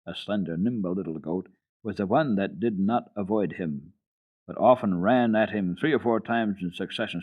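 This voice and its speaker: A man giving an expressive dramatic reading of a children's book on the radio. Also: low voice. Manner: expressive, lilting storytelling. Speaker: radio storyteller. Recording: tinny old radio recording.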